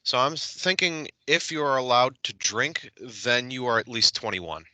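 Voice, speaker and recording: A man's voice: medium pitch, lively intonation, moderate pace, pushed and bright, dry recording, rough recording. A male student answering a question in class, a little hesitant.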